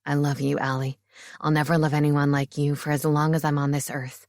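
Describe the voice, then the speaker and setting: A woman voicing an adult male shifter, a tender and teasing lover, in a lower, soft voice. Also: little pitch movement, fairly quick, no room sound, studio quality. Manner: low, soft, affectionate.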